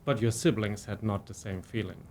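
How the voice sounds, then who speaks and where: A man's voice: fairly low pitch, brisk, a little mellow, slight background noise. Hesitant middle-aged male interviewer. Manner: hesitant, many fillers and restarts. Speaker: interviewer, university president. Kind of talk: conversational interview.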